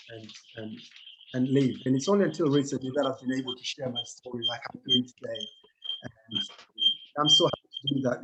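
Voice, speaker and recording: A middle-aged man from Tanzania, a panel guest telling his own story earnestly, halting and repeating words, over a video call. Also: medium pitch, slightly rough, poor audio. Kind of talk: personal testimony on a panel.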